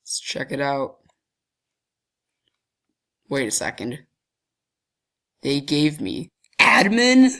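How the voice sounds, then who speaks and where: A man's voice: mid-range voice, sing-song, extremely slow, long pauses, fairly bright tone. A young man with a cold and a stuffy voice, giggling mischievously as he talks through a screen-recorded game and thinks aloud while clicking around. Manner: giggly, mischievous, often breaks into laughter. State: has a cold, stuffy deeper voice. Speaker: gaming channel host. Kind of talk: casual screen-recording commentary.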